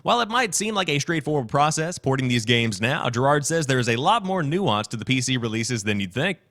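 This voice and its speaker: A man's voice: medium pitch, big pitch swings, fairly quick, fairly bright tone. A man hosting a gaming news show, mixing read-out news with his own off-the-cuff commentary.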